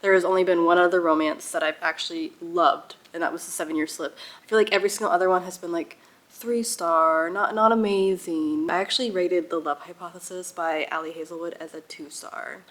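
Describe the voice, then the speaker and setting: A woman's voice: on the low side, fairly even intonation, brisk, fairly bright tone. A young woman chatting casually to viewers as a book vlogger, with a gushing, giggly and emphatic manner. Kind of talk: casual reading vlog chat.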